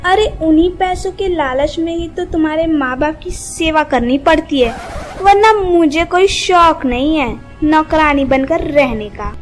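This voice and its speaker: A woman's voice: high-pitched, measured pace, faint music behind. A woman playing a scheming, resentful young daughter-in-law in a Hindi moral story. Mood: resentful, greedy.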